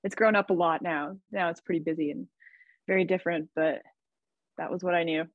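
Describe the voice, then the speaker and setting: A woman's voice: lowish voice, steady tone, fairly quick, no echo, narrow, tinny audio. A woman from Idaho, a podcast guest, chatting in an upbeat way and laughing often. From Idaho, USA. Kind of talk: casual conversation.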